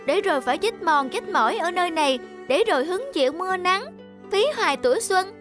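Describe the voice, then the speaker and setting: A very high, girlish cartoon voice for a vain young rice plant. She is whiny and dramatic, forever complaining. Music plays behind her fairy-tale lines. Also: lively intonation, brisk. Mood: distressed.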